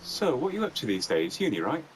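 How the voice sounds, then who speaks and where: A man's voice: mid-range voice, huge pitch swings, quick pace, music in the background, low-quality recording. An actor playing a laconic young working man chatting casually with an old schoolfriend in a film. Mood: subdued. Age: young adult. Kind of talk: film dialogue, casual chat. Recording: clear ambient noise.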